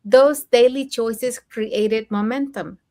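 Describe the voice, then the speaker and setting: A woman from Mexico co-hosts an online business show and shares her own story in an earnest, steady way. She is a grandmother and speaks plainly to her listeners. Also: on the high side, slow pace. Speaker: co-host.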